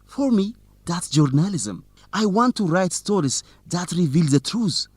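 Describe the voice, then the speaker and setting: An actor playing an earnest, idealistic young student journalist in a radio drama, speaking with conviction. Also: male voice, sits high, lively intonation, a little slow, husky, no echo, heavily acted. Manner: earnest, impassioned.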